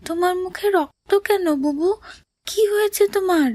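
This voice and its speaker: A woman's voice: very high-pitched, moderate pace, a little mellow, dry recording, clean studio sound. A woman voicing a hungry little boy in a cartoon drama, childlike, insistent and whiny. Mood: upset, tender. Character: hungry little brother, whiny, stubborn, tender-hearted.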